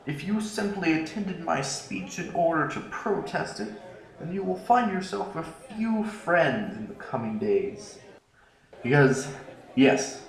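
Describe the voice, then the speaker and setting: Man acting a high-voiced, pompous sci-fi politician, echoing. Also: a little mellow. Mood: stern, officious. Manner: formal, pompous oratory. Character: haughty, paranoid revolutionary politician sliding into ranting. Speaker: voice actor in an audio drama. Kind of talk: scripted sci-fi audio drama acting. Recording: roomy, echoing sound.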